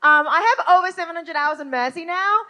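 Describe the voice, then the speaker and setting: A woman on a live convention panel, energetic and giggly, who is losing her voice. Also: extremely high pitch, brisk. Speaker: panelist and esports caster. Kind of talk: casual live panel chat. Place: convention stage before a crowd.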